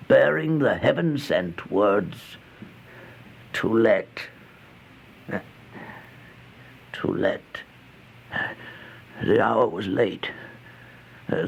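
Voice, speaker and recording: An actor playing an exhausted, starving homeless man who recounts a horror tale in a halting, breathy, theatrical old-time radio style, often dropping toward a whisper. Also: on the low side, exaggerated voice. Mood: bitter, ominous. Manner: halting, slow, theatrical, anguished. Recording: noisy old radio recording.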